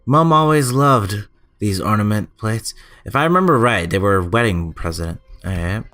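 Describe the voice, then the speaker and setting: Low-voiced man doing casual gameplay commentary. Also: expressive, normal speed, fairly bright tone, faint music behind. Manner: informal, chatty, stumbles when reading aloud. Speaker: gaming channel host. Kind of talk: casual let's play commentary, reading game text aloud.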